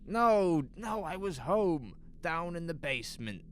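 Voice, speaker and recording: A man's voice: on the high side, sing-song, slow pace. A man playing a panicked, agitated middle-aged shopkeeper in an audio horror drama, urgent and pleading. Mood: nervous, insistent. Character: panicked, frantic middle-aged male small-town shopkeeper, desperate to be heard. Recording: faint noise under ambient sound effects.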